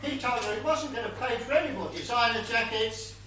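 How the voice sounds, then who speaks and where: An actor playing a guarded gardener, a former soldier and murder suspect, in a stage whodunit. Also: male voice, extremely high pitch, a little fast, bright, forceful tone. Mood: matter-of-fact. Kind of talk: amateur one-act stage play acting. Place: indoor theatre stage before an audience. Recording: echoey theatre from far, noisy.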